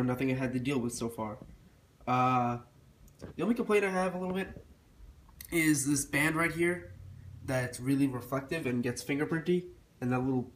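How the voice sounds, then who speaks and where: A man's voice: mid-range voice, slight background noise. A young man with a stuffy cold voice, casually reviewing a product with lots of fillers and restarts. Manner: rambling with frequent fillers and restarts. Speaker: tech reviewer.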